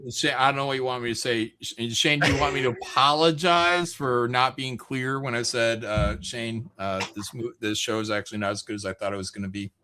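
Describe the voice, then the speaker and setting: Bright, teasing male livestream host. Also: mid-range voice, a little fast, few pauses. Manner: teasing, mock-defensive. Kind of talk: casual banter.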